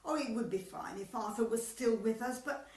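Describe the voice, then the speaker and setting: A woman's voice: fairly high pitch, moderate pace, darkish tone, close to an everyday voice. A woman playing a dithery, chatty older English widow in an amateur radio comedy, rambling and apologetic. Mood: confiding. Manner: rambling, hesitant, wistful. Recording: echoey, distant mic, faint noise.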